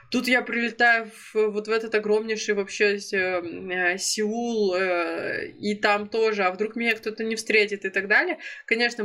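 Woman, twenties, from Russia, casual storytelling vlog, bright tone, chatty, self-correcting. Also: medium pitch. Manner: chatty, many fillers and self-corrections, laughs now and then. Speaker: storytelling vlogger.

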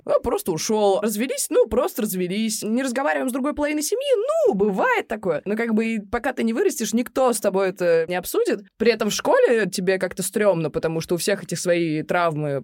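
A woman's voice: medium pitch, a little mellow, no room sound, clean studio sound. A young woman co-hosting a casual chat, talking in a colloquial, rambling way with plenty of slang.

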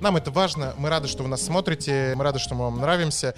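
A man hosting a podcast, upbeat and brisk as he leads the conversation and signs off. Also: mid-range voice, music in the background. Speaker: podcast host. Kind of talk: casual podcast chat.

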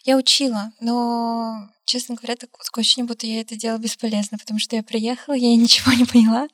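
Young woman, lively and laughing. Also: a little high, fast, no room sound, clean studio sound. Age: young adult. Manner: lively, laughs often between phrases. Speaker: guest, law graduate studying abroad. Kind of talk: casual interview chat.

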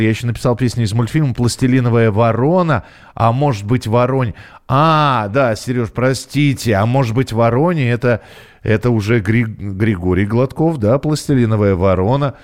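A man's voice: medium pitch, a little mellow. Male radio host, mid-range voice, casual live delivery, hesitant and often feeling for the next word. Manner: halting, hesitant, searching for words. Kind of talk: casual live talk, reading listeners' messages.

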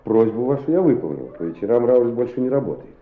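Echoey old radio drama: male actor as good-natured but exacting school director, instructive, exclamatory, lively pitch swings. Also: fast, lightly acted. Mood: measured, tactful. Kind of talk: Soviet-era radio play acting. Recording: muffled, echoey old radio recording, background bustle.

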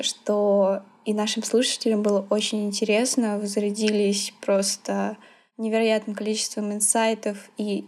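A woman's voice: medium pitch, a little mellow. A woman hosting a podcast, closing out the episode in an upbeat, friendly manner. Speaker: podcast host. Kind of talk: podcast outro.

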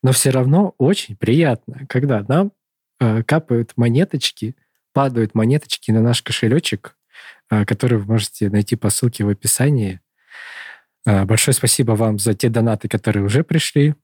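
A man's voice: mid-range voice, moderate pace, a little mellow, slightly rough, dry recording, clean studio sound. A thirty-year-old man hosting a podcast, chatting casually and rambling through long self-correcting stories. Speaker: podcast host.

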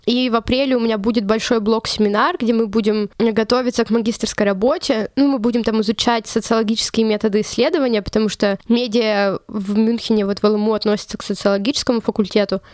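A woman's voice: a little high, steady tone, fast talker, few pauses, darkish tone, no echo, clean studio sound. A young woman from Russia, a journalism student, telling her story as an interview guest in a chatty way, full of fillers and restarts. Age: young adult. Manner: chatty, lots of fillers and self-corrections.

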